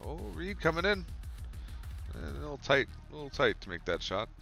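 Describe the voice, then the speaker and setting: A man with a stuffy, cold-clogged voice, casually commenting as he plays a football management game, musing and then breaking into sudden excited reactions. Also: medium pitch, normal speed, gravelly, soft background music. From Canada.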